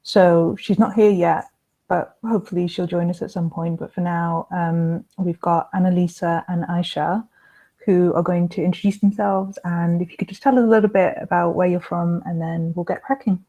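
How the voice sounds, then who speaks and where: A woman hosting a live-streamed panel discussion, introducing guests and moderating in a relaxed way over a video call. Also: on the low side, moderate pace, highs cut off. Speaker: panel host.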